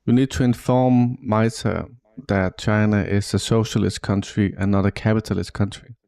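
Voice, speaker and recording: A man's voice: fairly low pitch, steady tone, moderate pace, gravelly, dark tone. A man in casual chat who presses his points insistently.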